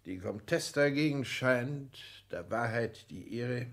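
An actor playing a proud, reserved middle-aged count in a 1960s German radio play, speaking formally and with stately diction. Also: male voice, mid-range voice, expressive, takes it slowly, a bit bright. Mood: coolly formal. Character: reserved, class-proud aristocratic count, father of a grown daughter.

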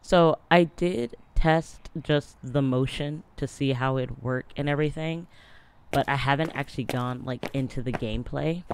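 A young adult gaming streamer with a partly lost voice, chatting casually and reading game text aloud into a close boom mic in a small home room. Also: moderate pace, vocal fry, slight background noise. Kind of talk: casual let's-play commentary. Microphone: boom mic on arm, close.

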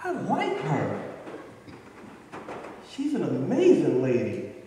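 A man's voice: medium pitch, wildly swooping pitch, takes it slowly. A man acting a lovestruck, earnest school janitor in a live stage play. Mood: earnest, smitten. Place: large gymnasium with a stage, indoors. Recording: echoey school gym stage, distant microphone, noisy.

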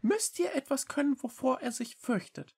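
A woman's voice: high voice, very animated, normal speed, gravelly, darkish tone, slightly rough, pitched above the performer's own voice, exaggerated voice. A woman voicing a small, earnest, polite male cat-like creature in an audiobook, with an eager, pleading manner. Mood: eager, persuasive. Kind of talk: audiobook character voice.

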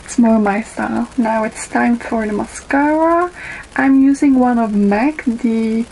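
A young woman in her twenties with a Dutch accent, whose first language is Dutch, giving a makeup tutorial into a webcam in a small bedroom, pausing often with ums as she works. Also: a little high, vocal fry, darkish tone, a little hiss or hum, rough recording. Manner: unhurried, with frequent ums and pauses while applying makeup. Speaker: beauty vlogger. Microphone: webcam in front of her.

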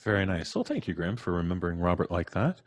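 A man's voice: deep voice, big pitch swings, fast, no room sound. A middle-aged man casually hosting a live stream, speaking into a studio microphone. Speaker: podcast host. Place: home recording booth on a video call. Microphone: studio mic on boom arm.

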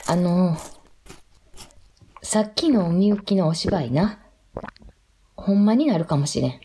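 A woman's voice: on the low side, animated, slow, mellow, slight background noise. An actress playing an anxious, supportive wife and mother worn down by poverty, with a Kansai accent. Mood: hesitant, worried.

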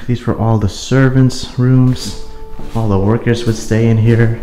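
A young man hosting an exploring vlog, talking casually and excitedly to viewers on a handheld camera mic inside a large empty abandoned mansion. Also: mid-range voice, measured pace, soft background music. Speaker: urban explorer host.

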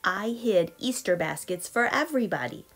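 A woman voicing an excited, cheerful little girl bunny in a storybook, eager and exclaiming. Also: mid-range voice, normal speed, voice pushed up. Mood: pleased.

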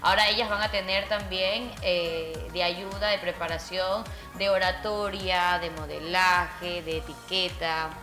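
A woman's voice: fairly low pitch, steady tone, measured pace, few pauses, reverberant, music in the background. A woman co-hosting a TV news segment, talking in long, flowing sentences. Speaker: TV co-host. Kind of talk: studio news chat. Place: TV studio, indoors.

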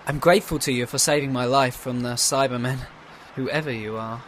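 A man's voice: mid-range voice, a little fast, a little hiss or hum, close to an everyday voice. A man playing a wry, cocky rebel fighter. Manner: wry, lively, incredulous.

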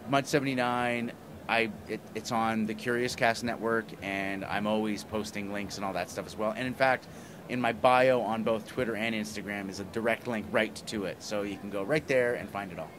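A man's voice: fairly low pitch, fairly even intonation, normal speed. A middle-aged man talking enthusiastically and emphatically in a casual interview, rambling a little as he goes. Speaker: comic writer, podcaster and interview guest. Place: small plywood booth at a convention. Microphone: handheld microphone held by interviewer. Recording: clear background noise at convention.

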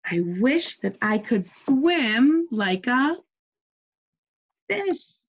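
A woman's voice: fairly high pitch, sing-song, very slow, muffled recording, exaggerated voice. A woman voicing a small, wistful storybook chameleon who longs to be different. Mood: longing. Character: small chameleon, wishful, forever longing to be different.

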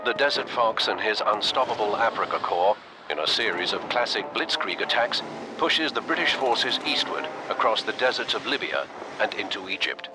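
A man reading scripted documentary-style war narration for a game cutscene in a grave, measured manner. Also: fairly low pitch, noisy background.

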